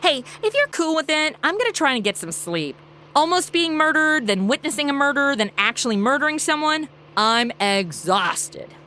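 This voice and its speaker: A woman's voice: high voice, very animated, normal speed, sharp tone, exaggerated voice. An actress playing a breezy, irreverent modern woman with a flippant, slangy manner in a comedy audio drama. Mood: casual, tired.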